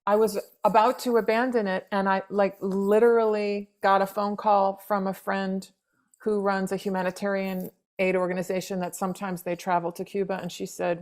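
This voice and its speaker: Woman in her fifties, playwright guest, reflective and searching, restarts often, medium pitch. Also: level tone, normal speed, a little mellow. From United States. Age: 50s. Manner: thoughtful, many restarts and repeated words. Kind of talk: reflective interview conversation.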